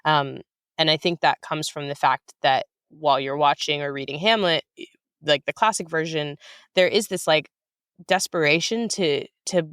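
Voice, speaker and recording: A woman's voice: low voice, moderate pace, fairly bright tone, clean tone, no echo, studio quality. A woman answering as an interview guest in casual, thoughtful talk, often rephrasing and circling back as she goes. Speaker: interview guest, writer of audio fiction.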